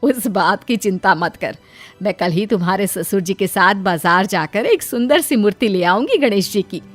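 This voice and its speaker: A woman's voice: mid-range voice, lively intonation, moderate pace, soft background music, natural voice. A woman voicing a homely, cautious elderly mother-in-law in a cartoon moral story. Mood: agreeable.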